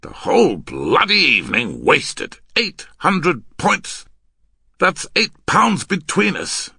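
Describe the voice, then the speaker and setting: A man voicing a grim, impatient, bullying card cheat who gives orders forcefully, as in an audiobook story. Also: lowish voice, big pitch swings, unhurried, vocal fry, slightly rough, dry recording, clean studio sound, heavily acted. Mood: angry, insistent. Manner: forceful, commanding.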